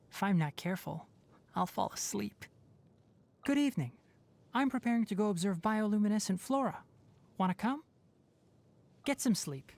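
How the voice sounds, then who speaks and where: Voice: medium pitch, a little mellow, close to an everyday voice. Casting: actor to play a youthful male fox-eared forest scholar for a game, articulate, lecturing, dry-witted. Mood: relaxed. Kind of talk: scripted game character voice lines.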